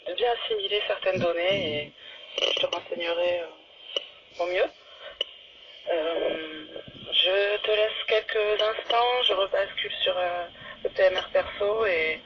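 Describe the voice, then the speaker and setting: A loudly hissing, muffled two-way radio carries a man's high voice. He speaks haltingly and politely, with rather formal wording, like a novice operator in a casual exchange. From France. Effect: two-way radio transmission.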